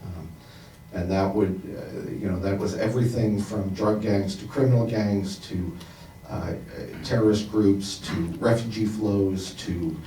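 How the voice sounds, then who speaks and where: A man with a lowish, mellow voice speaks reflectively, halting often on fillers. He is heard from a distance in a roomy conference hall. A faint noise sits underneath. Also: steady tone. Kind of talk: panel discussion answer.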